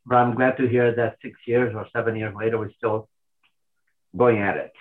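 A man's voice: fairly low pitch, fairly even intonation. Older man on a phone line. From Portugal. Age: 60s. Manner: thoughtful, with many pauses and restarts. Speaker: caller. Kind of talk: casual call-in chat.

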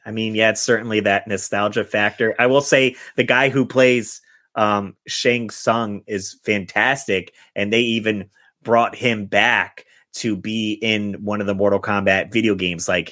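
A man's voice: fairly low pitch, pushed and bright. A man hosting a podcast game, chatting casually and reading aloud with some jokey, theatrical emphasis. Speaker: podcast host running a guessing game. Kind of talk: casual podcast chat with read-out reviews.

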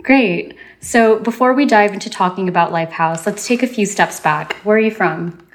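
A woman hosting a podcast, reading a polished introduction and asking interview questions in a measured way. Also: mid-range voice. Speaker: podcast host. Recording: music under the voice.

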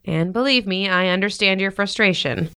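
Actress as patient mother, medium-pitched, soothing. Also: normal speed, clear, resonant tone, natural voice. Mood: reassuring, firm. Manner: soothing, reassuring, gently firm. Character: comforting, sensible, patient middle-aged mother. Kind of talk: modern audio drama acting.

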